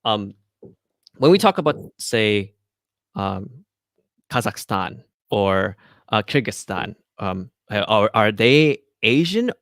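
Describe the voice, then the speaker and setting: A man's voice: lowish voice, very animated, slow pace, a bit bright, no echo. A man from Malaysia, a guest on a podcast, who answers thoughtfully with frequent ums and restarts. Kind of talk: casual interview chat.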